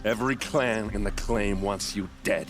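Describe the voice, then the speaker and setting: Male actor as gruff warrior captain, low pitch, blunt and wry, informal, loyal, video game cutscene, music behind. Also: moderate pace, lightly acted. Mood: cold, menacing. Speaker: captain of the guard. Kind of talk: cinematic video game acting.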